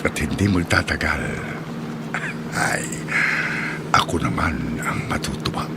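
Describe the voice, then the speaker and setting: Radio drama villain: sly middle-aged predator, falsely kind, deep, unhurried delivery, noisy recording. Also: male voice. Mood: gloating, scheming. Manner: leering, chuckling, falsely kind. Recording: heavy noise behind the voice.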